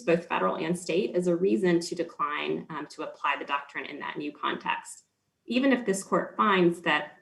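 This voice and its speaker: A man arguing for the state before an appeals court, formal and measured, with frequent ums and small restarts. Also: high-pitched, creaky, fairly bright tone, poor audio. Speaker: state's attorney. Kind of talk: appellate oral argument.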